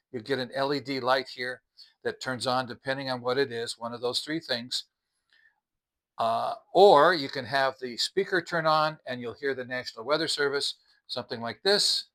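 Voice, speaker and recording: A man's voice: medium pitch, bright, forceful tone, rough recording. A man hosting a casual product review, explaining things conversationally and addressing viewers directly as he goes. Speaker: host of a product review channel.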